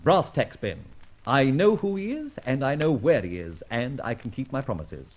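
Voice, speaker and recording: An actor playing a suave, playful amateur sleuth in an old-time radio detective drama, with flowery, teasing speech. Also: male voice, medium pitch, sing-song, normal speed, darkish tone. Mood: playful, confident. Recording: dull, muffled old radio recording.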